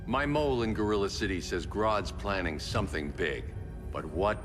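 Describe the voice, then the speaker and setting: A man's voice: lowish voice, moderate pace, in a large hall, over music, close to an everyday voice. An actor voicing a grim masked male vigilante leader in a game, speaking tersely and sternly.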